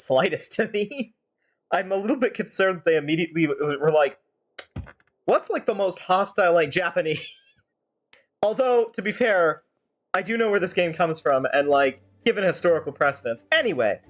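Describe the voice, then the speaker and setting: A game streamer giving rambling, animated playthrough commentary with sardonic asides. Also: mid-range voice. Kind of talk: playthrough commentary with fan-dub character reading. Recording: music behind the voice. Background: game music.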